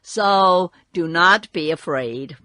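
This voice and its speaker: A woman voicing a humble, kindly tin woodman from a storybook, formal, measured and earnest. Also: lowish voice, bright, forceful tone, no room sound. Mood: reassuring.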